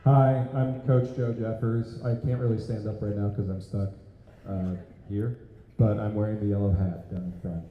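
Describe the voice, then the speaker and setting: A man's voice: lowish voice, fairly even intonation, moderate pace. A man, a swim coach, introducing himself at a team meeting in a chatty, friendly way. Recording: dull echoey sound with faint noise.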